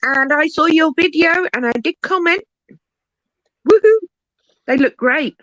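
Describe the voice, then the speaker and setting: A woman chatting casually on a live stream, bubbly and excitable, and losing her voice. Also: sits high, a little slow, no echo. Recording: dry, muffled sound.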